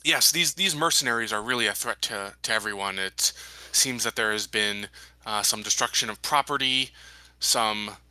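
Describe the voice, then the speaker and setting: A man playing a measured, formal company man, diplomatic and evasive, in a tabletop roleplaying game. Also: lowish voice, bright, forceful tone, no room sound, low-quality recording. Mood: grave, diplomatic. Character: smooth, evasive male company man reassuring colonists.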